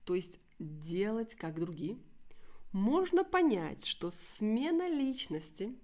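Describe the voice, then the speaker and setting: A woman's voice: mid-range voice, big pitch swings, takes it slowly, darkish tone. A woman with a native Russian accent presenting an educational podcast for language learners, articulating carefully and explaining words simply.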